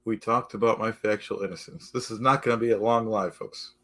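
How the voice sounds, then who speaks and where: A man hosting a casual live stream, halting and repetitive, losing his voice, forever addressing "folks". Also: fairly low pitch, fairly even intonation, fairly bright tone. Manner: repetitive, keeps saying "folks" and "okay"; halting, restarts often.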